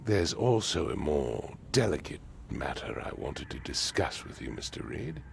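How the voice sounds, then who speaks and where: A man playing a haughty, commanding patrician in a video game, with formal, pompous and deliberate phrasing. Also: rumbling low, very animated, vocal fry, a little hiss or hum, heavily acted. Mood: guarded, haughty. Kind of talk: video game cutscene acting.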